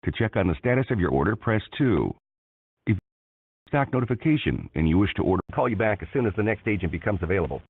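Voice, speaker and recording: A man delivers a scripted automated phone menu at a quick clip, with lively swings in pitch. His voice comes through tinny and narrow, as if down a telephone line. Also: husky, no echo.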